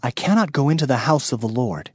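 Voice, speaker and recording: A man's voice: mid-range voice, lively intonation, quick pace, a little mellow, husky, no room sound, studio quality. A man playing a solemn Hebrew prophet proclaiming warnings in a declamatory dramatized audio Bible. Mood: grave, urgent. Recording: background music under the voice.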